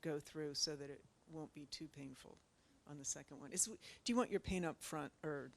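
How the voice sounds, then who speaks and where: A woman's voice: low-pitched, moderate pace, creaky, slight background noise. A woman speaking as a translator on a panel, thoughtful and a bit hesitant. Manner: thoughtful, hesitant, with small restarts. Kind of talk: casual panel discussion. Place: library event space with live audience.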